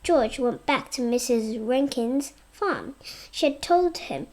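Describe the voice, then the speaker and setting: A child reading a storybook aloud, careful and expressive, word by word. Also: high-pitched, slow pace. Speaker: reader.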